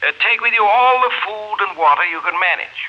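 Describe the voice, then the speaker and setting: Casting: stiff, solemn official, notably high voice, careful delivery, muffled vintage broadcast. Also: male voice, animated, fairly quick, slight background noise. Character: formal, measured male government science official. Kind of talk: 1950s radio drama address. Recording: muffled 1950s radio broadcast.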